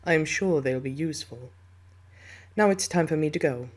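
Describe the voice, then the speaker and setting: A commanding alien officer, played by a man in an audio roleplay. The voice sits somewhat high for a male and speaks in a formal, clipped, official way. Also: moderate pace, natural voice. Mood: approving.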